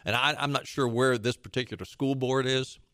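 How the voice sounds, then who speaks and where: A man's voice: medium pitch, steady tone, brisk, fairly bright tone, clear, resonant tone, no echo, clean studio sound. A man hosting a political talk show, giving emphatic, sarcastic commentary that hops between points.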